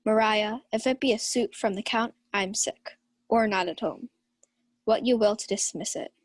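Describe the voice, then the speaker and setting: A woman's voice: mid-range voice, fairly even intonation, moderate pace, dry recording, lightly acted. A young woman playing a proud, commanding countess in a theatrical radio Shakespeare play. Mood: imperious. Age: young adult. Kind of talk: 1940s radio Shakespeare acting.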